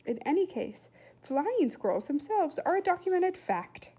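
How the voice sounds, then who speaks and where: A woman's voice: very high-pitched, big pitch swings, a little slow, mellow, voice pushed up, full character voice. A woman reading a storybook as a precocious young boy, formal and self-important, with wordy, precise diction. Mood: pedantic.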